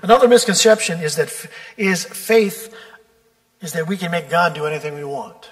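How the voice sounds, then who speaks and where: A male pastor delivering a sermon in a church, measured and deliberate, stretching out key words for emphasis. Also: fairly high pitch. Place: church sanctuary. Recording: long church reverb.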